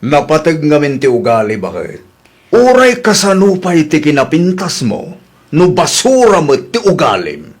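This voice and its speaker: Brief: man plays a firm, moralizing man for radio, slightly high voice. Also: huge pitch swings, moderate pace, husky, exaggerated voice. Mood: stern. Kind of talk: radio drama acting.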